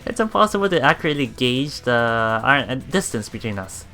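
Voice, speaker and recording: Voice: medium pitch, sing-song, a little fast, pushed and bright, faint music behind. A let's play streamer reading game text aloud with casual reactions, often stumbling over words and repeating them.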